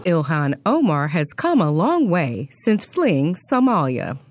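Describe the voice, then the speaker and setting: A woman's voice: sits low, very animated, unhurried, darkish tone, slight background noise. A woman narrating a news report as a voice-over.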